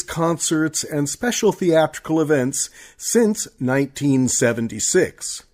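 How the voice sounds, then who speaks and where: A man's voice: on the high side, a little mellow. A man reading scripted credits and announcements as a radio announcer.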